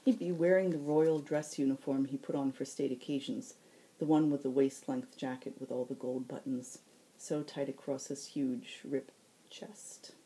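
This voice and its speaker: A woman's voice: a bass voice, mellow, rough recording. A woman reading her novel aloud as scripted audiobook narration. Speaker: author reading own novel.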